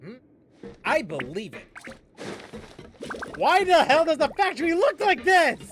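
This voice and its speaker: A man's voice: very high-pitched, huge pitch swings, measured pace, a little hiss or hum. A man hosting a let's-play, commenting on the game in a sarcastic, incredulous way. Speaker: gaming channel host.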